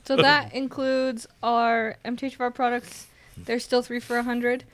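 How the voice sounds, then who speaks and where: A woman's voice: fairly high pitch, level tone, moderate pace. A woman assistant on a casual live stream, teasing the host and laughing easily.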